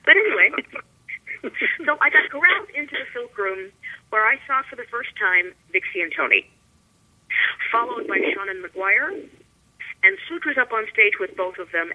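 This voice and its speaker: A woman's voice: on the high side, animated, normal speed. A woman telling a casual personal story as a guest calling in over a phone line. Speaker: guest musician. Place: remote call into the show. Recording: muffled, tinny phone line.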